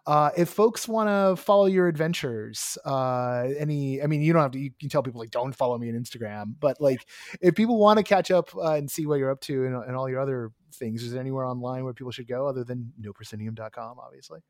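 A man hosting a podcast, hoarse and tired, talking in a rambling way with many restarts and asides. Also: mid-range voice, fast, dry recording, clean studio sound. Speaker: podcast host. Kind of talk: casual chat and solo monologue.

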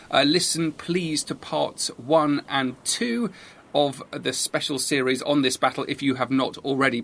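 Male British podcast host. Bright, forceful voice, enthusiastic and emphatic delivery, dry close sound. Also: medium pitch, dry recording. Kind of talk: history podcast interview and presenting.

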